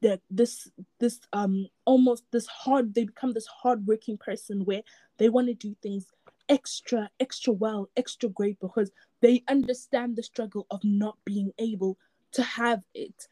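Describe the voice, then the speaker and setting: A woman's voice: a little high, steady tone, a little slow, mellow, no echo. A woman from Africa, reflecting in a casual chat and often repeating words as she searches for them. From South Africa.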